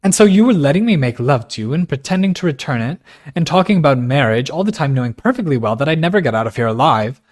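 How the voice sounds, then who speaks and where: A man's voice: medium pitch, huge pitch swings, normal speed. Man playing a furious indignant young man. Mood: angry. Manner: angry, accusing.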